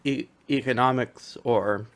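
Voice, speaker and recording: Brief: mid-pitched man, relaxed questioning debater, restarts often. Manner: casual, questioning, quick restarts. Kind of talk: online voice-call debate.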